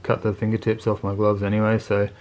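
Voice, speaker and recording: A man with a lowish voice talks through a piece of gear off the cuff. His delivery is very monotone and laid-back, with plain, colloquial phrasing. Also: fast, a little hiss or hum. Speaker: motorcycle video channel host reviewing gear. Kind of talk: casual unscripted product review.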